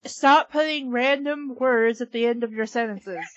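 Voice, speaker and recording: High voice lady reading bad fanfic real slow, snarky little asides, dry lo-fi mic. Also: no echo. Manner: deadpan reading broken by sarcastic asides and giggles. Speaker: reader and podcast host. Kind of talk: comic dramatic reading of fan fiction. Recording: dull lo-fi sound.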